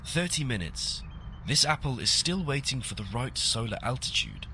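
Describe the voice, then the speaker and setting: A talking apple as a courteous gentleman aide for a video game. His voice is forceful and bright, with lively, varied intonation and formal phrasing, over faint noise. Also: medium pitch, normal speed, creaky, exaggerated voice. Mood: formal, measured. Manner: formal, refers to himself in third person.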